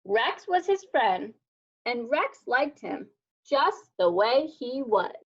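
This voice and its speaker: A woman reading a children's picture book aloud as a storyteller, with expressive, deliberate delivery. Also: on the high side, breathy edge. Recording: dull lo-fi sound.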